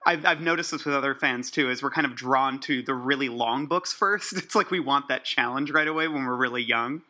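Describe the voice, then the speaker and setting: A man's voice: mid-range voice, fairly quick, pushed and bright. A man co-hosting a podcast, interviewing a guest in a casual, friendly and eager way.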